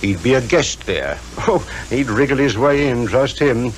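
An actor playing a blunt, knowing police inspector with a British accent in a 1950s radio drama, plain-spoken and clipped. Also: male voice, mid-range voice, expressive, a little slow, lightly acted. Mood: knowing, explanatory. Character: blunt, worldly, confident male police inspector. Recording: noisy 1950s radio recording.

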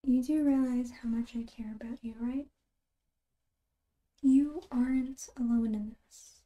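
A woman playing a caring, reassuring girlfriend in an ASMR roleplay, soft-spoken and breathy, close to a whisper, with halting, tender delivery. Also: on the high side, fairly even intonation, natural voice, poor audio. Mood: gentle concern.

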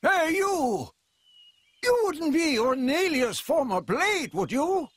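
A man's voice: very high-pitched, sing-song, takes it slowly, a bit bright, no echo, exaggerated voice. A man voicing a folksy, admiring villager who reminisces in a video game. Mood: excited, nostalgic. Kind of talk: video game cutscene acting.

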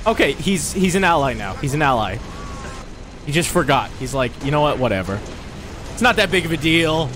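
A man giving loud, crude, excitable commentary while he plays a video game, voice going hoarse. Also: on the high side, brisk, noisy background. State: hoarse, losing his voice. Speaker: gamer streaming gameplay. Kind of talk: casual gameplay commentary. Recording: game music and sounds behind.

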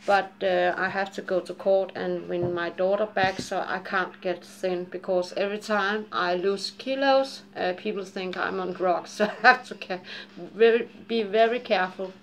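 A woman's voice: medium pitch, steady tone, normal speed, poor audio. A woman in her forties with a Japanese and Danish accent, chatting candidly to a webcam at home in a dim living room, rambling, laughing often and cursing freely. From Japan and Denmark. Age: 40s. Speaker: vlogger. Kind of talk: casual chat to camera.

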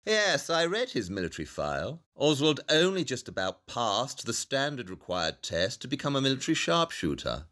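A man's voice: mid-range voice, huge pitch swings, moderate pace, few pauses, sharp tone. A man playing a brilliant, aloof detective in an audio play, with a British accent and precise, pompous speech. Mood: analytical. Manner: precise, pompous, clipped deduction. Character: brilliant, aloof, arrogant male London detective.

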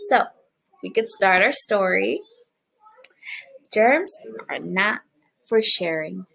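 A young woman preschool teacher reading a picture book aloud to small children and explaining it, with animated, exaggerated expression. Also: mid-range voice, slow, vocal fry, rough recording. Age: young adult. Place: small living room, indoors, on a couch.

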